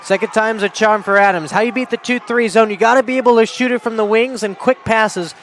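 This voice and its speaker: American male analyst on a live game broadcast, distinctly high-pitched, gym noise in the background. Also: fairly even intonation, brisk, fairly bright tone. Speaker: colour commentator.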